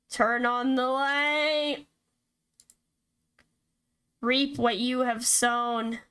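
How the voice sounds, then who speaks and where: A woman voicing ominous, accusing spirits of a horror game in a slow, drawn-out, solemn delivery. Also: fairly high pitch, bright, forceful tone, smooth, heavily acted. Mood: menacing, commanding. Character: ominous, accusing spirit chorus haunting a cabin.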